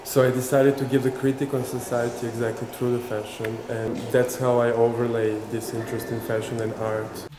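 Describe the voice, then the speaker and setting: Flat-voiced man. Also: medium pitch, fairly quick, few pauses. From Serbia. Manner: earnest and reflective. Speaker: fashion designer. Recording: roomy echo with music.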